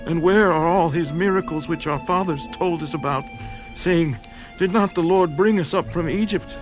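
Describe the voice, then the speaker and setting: A man's voice: a little high, moderate pace, faint music behind, natural voice. A man playing a humble, doubting young Israelite farmer in a dramatized scripture audio drama, earnest and pleading in formal phrasing. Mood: troubled, questioning.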